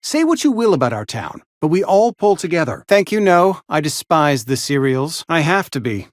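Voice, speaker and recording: Fussy, animated small-town undertaker. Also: male voice, on the high side, moderate pace, dry recording, studio quality. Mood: sheepish, formal. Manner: formal, wordy, florid, over-polite. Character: fussy, mournful, company-loyal frontier town barber and undertaker. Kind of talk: theatrical video game character acting.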